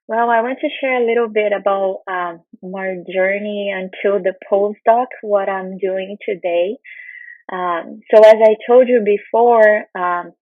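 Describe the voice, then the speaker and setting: A woman's voice: medium pitch, fairly even intonation, unhurried, dry recording. A woman in her thirties, Portuguese her first language, speaks with a Brazilian accent as she shares her path in a conference talk. She talks earnestly, with many ums and uhs. The sound is thin and phone-like, close and dry, with no room around it. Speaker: guest speaker. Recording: tinny phone-like line.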